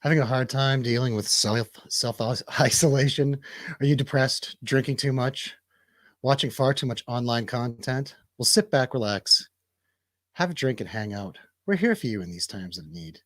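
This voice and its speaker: A man's voice: mid-range voice, normal speed, fairly bright tone, no room sound. A man hosting a casual movie review radio show.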